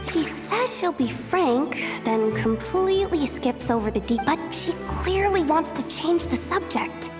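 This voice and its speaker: High, chirpy childlike fairy companion. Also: female voice, animated, unhurried, few pauses. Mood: wary. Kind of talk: video game cutscene acting. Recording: game music behind the voice.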